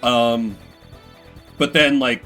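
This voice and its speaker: A man's voice: mid-range voice, drawn-out words, soft background music. A man streaming games, chatting casually with viewers in a rambling, story-telling way. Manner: rambling, animated retelling with acted-out quotes. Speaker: game streamer. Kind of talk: casual livestream chat with viewers.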